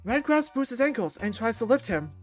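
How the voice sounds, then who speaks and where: A woman's voice: a little high, sing-song, normal speed, faint music behind. A woman reading a visual novel aloud as a narrator.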